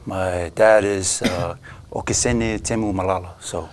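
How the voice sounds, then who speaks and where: A man from Samoa, a guest dancer, introducing his family in an easygoing, halting way. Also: low voice, steady tone. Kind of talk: casual interview.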